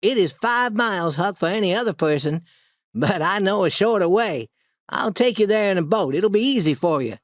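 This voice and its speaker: A reader putting on a bold young boy adventurer, pitched well up and bursting with insistent excitement. He talks in lively, swooping lines, with a dry, close sound in a storybook reading. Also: moderate pace, dry recording, close to an everyday voice. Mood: eager.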